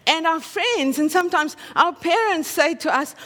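A woman's voice: very high-pitched, expressive, moderate pace, pushed and bright, in a large hall. A woman with an Afrikaans accent preaching a sermon in a conversational way, often checking in with her listeners through tag questions.

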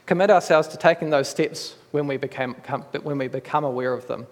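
A man with a New Zealand accent delivers a sermon in a church hall, speaking in an earnest, measured way. His delivery stays conversational, with small restarts. The long reverb of the hall trails after his words. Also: medium pitch. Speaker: preacher.

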